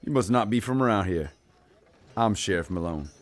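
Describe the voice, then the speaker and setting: A tough, feared frontier sheriff, acted by a man in a Western audio play. He has a low voice and a folksy drawl that carries menace. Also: animated, moderate pace, close to an everyday voice. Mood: gruff.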